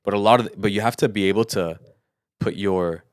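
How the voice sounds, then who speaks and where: A man's voice: lowish voice, brisk, dry recording, studio quality. A young man chatting casually as a podcast co-host, speaking informally and swearing freely. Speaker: podcast co-host and audio engineer.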